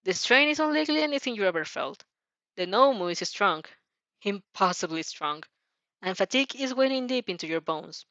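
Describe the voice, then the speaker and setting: A woman narrating a fan fiction story dramatically, with an expressive, somewhat halting delivery. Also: mid-range voice, no room sound, dull audio.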